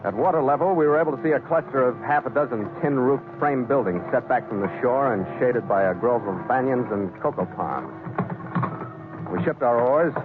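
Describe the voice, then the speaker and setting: A thin, narrow-band 1940s radio recording with music carries an actor playing a steady seafaring skipper. He has a medium male voice and tells his sea adventure like a ship's log, in period radio-drama style. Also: medium pitch, normal speed, natural voice. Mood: measured, suspenseful. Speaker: lead actor and narrator.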